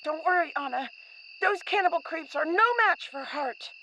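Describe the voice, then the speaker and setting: A woman's voice: high-pitched, normal speed, background noise, lightly acted. A woman playing a plucky, loyal little boy castaway in a radio play. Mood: bold, loyal.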